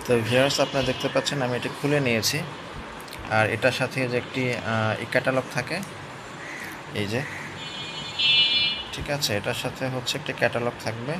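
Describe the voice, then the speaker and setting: Man reviewing over clear background noise. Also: mid-range voice, fairly even intonation, fairly quick, long pauses. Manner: explanatory, often checks in with listeners. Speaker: product reviewer. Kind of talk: casual hands-on product review.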